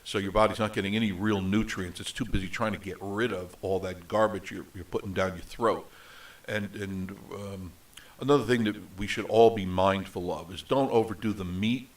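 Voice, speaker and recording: A man's voice: deep voice, fairly even intonation, moderate pace, distant mic, rough recording. A middle-aged man with a nasal voice hosting a live show, chatting off the cuff with many ums and restarts. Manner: rambling, with many fillers and restarts. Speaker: host of a live travel show. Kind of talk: unscripted casual live chat. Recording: voice set back from the mic.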